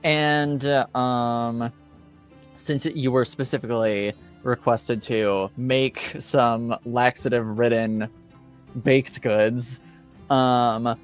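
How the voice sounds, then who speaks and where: Clear-voiced man running a tabletop game. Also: mid-range voice, fairly even intonation, slow pace, faint music behind. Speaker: game master. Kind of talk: improvised tabletop game narration.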